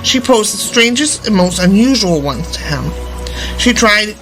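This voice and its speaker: Lady reading a fairy tale out loud, expressive, normal pitch, some music in the back. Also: a little slow, poor audio. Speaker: storyteller. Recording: music behind the voice. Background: background music.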